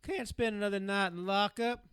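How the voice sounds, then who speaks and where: A man playing a streetwise, softer-hearted vagrant in an audio drama, talking in excitable slang. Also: high-pitched, normal speed, clean tone, no echo, clean studio sound. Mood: uneasy. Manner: slangy, exclamatory.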